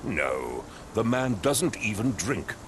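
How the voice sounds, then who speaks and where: An actor playing a pompous, impatient middle-aged captain in a video game, with an English accent and clipped, condescending speech. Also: male voice, fairly low pitch, sing-song, takes it slowly, noisy background. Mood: dismissive. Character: pompous, impatient male English captain, haughty wealthy master.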